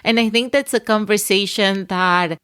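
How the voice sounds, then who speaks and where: A woman's voice: mid-range voice, normal speed, fairly bright tone, clean tone. A woman with a Latin American Spanish accent, hosting a podcast interview and affirming her guest encouragingly. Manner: encouraging, affirming with many mm-hmms. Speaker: podcast host. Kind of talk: casual interview conversation.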